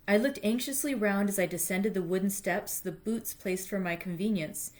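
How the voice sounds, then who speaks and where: Chatty woman hosting a book read-aloud. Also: medium pitch. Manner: informal, self-deprecating asides. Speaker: library read-aloud host. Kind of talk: chatty introduction, then literary audiobook-style reading.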